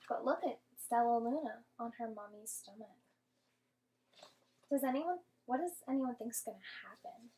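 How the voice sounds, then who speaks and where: Woman, primary teacher, fairly high voice, very slow pace, instructional phrasing, read-aloud lesson for small children. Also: slight background noise, rough recording. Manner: clear instructional phrasing, questioning, sounding out syllables.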